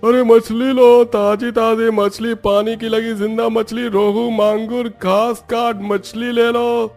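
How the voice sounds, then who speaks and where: A man's voice: very high-pitched, little pitch movement, slow, a little mellow, soft background music, pitched above the performer's own voice, exaggerated voice. A man voicing a fish seller in a cartoon story, calling his wares in a sing-song street hawker's cry. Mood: hawking. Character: male fish seller hawking his fresh catch. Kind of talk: Hindi cartoon story acting.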